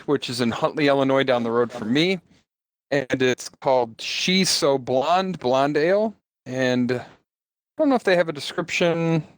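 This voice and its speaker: A man's voice: on the high side, dry recording. Guy co-hosting a game stream, rambly and self-deprecating, dry close sound. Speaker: stream co-host. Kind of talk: casual gaming chat.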